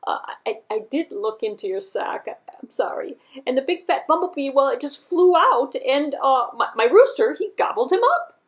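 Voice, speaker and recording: A woman acts out a nosy but polite country lady for a puppet show. Her voice is high and lilting, fluttery and hesitant, always eager to please and apologetic. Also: sing-song, moderate pace. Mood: sheepish.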